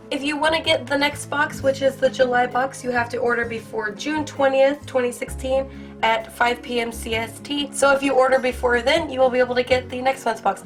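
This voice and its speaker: A young woman hosting an art-supply unboxing video, hoarse from a cold and gushing with excitement in a casual, rambling way. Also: a little high. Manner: bubbly, gushing, filler-heavy. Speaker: art channel host.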